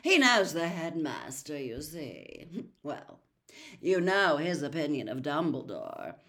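A woman's voice: deep voice, huge pitch swings, slow pace, fairly bright tone, exaggerated voice. A woman voicing a sneering, snobbish teenage schoolboy who drawls, in a storybook reading.

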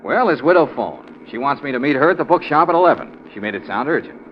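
An actor with an American accent playing a confident, brisk troubleshooter in a 1940s radio drama. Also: male voice, mid-range voice, very animated, close to an everyday voice. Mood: brisk, intrigued. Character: confident, brisk male private troubleshooter, eager for excitement. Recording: muffled, noisy 1940s radio recording.

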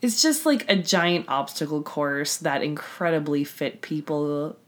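A woman in her thirties co-hosting a podcast in casual chat, her voice low and husky from a cold, with a wry, dry manner and frequent laughs. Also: measured pace. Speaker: podcast co-host.

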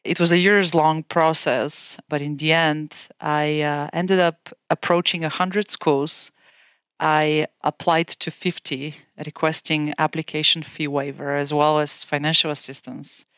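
A woman in her forties from Bulgaria with a Bulgarian accent, a guest calmly recounting her career in a podcast interview. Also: low-pitched, a little slow, no room sound.

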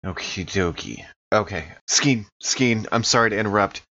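Male roleplayer, deeper register, conversational, dull recording. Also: moderate pace, sharp tone, dry recording. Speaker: player. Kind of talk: casual tabletop roleplay. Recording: dull, lo-fi microphone sound.

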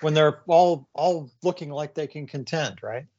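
A man co-hosting a podcast, chatting in a relaxed way with short replies. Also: on the high side, a little slow. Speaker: podcast co-host. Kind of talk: casual podcast chat. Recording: dull lo-fi audio.

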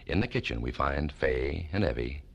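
A man's voice: a bass voice, expressive, moderate pace, gravelly, fairly bright tone, breathy edge. A man announcing a radio serial in a scripted vintage style, with music behind. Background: faint music.

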